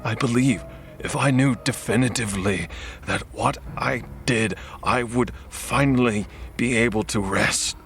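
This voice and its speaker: A man playing a troubled, pleading man in an audio horror drama, speaking deliberately and dramatically. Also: mid-range voice, fairly bright tone, soft background music. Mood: remorseful, weary. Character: troubled man, pleading, elderly and dying in later scene.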